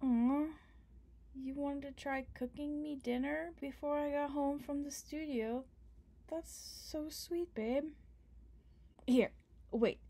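A woman's voice: high voice, a little mellow. A young woman doing an ASMR roleplay as a partner, playful, affectionate and teasing. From Canada. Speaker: ASMR performer.

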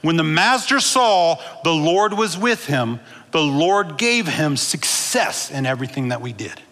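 A high-pitched, bright-toned man in his thirties preaches with lively gestures, calling out to his congregation. He speaks into a headset mic, and his voice rings out with the long reverb of a large church auditorium. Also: unhurried. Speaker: pastor. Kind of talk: sermon. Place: large church stage with blue lights.

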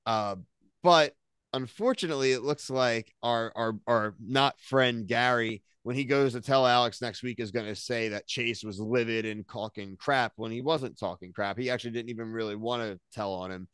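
A man's voice: mid-range voice, moderate pace, a bit bright, clear, resonant tone, dry recording. An American man in his thirties chatting casually as a podcast co-host, with slangy, opinionated recaps. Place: small home room, on a video call.

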